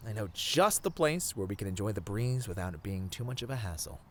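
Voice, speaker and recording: A man's voice: on the low side, very animated. Guy playing shy sweet boyfriend in romance asmr, rambly and tender, voice swoops around, noisy ambience behind. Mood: affectionate, eager. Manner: tender, flustered, halting, with soft chuckles. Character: sweet, bashful, rambling boyfriend on a picnic date. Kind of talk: romantic ASMR roleplay acting.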